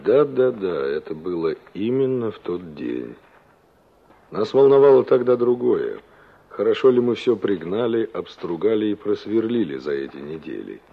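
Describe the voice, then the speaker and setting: A man's voice: fairly low pitch, normal speed, vocal fry, exaggerated voice. An actor playing a young engineer who narrates his memories dramatically in a Soviet radio play. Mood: nostalgic, animated. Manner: animated, reminiscing. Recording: dull 1960s radio play recording.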